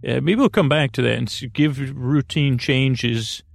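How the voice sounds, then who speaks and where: Man, gravelly creaky voice, dry room-free sound, halting delivery, meandering goofy sleep podcast monologue. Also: mid-range voice, dry recording. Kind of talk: rambling bedtime monologue.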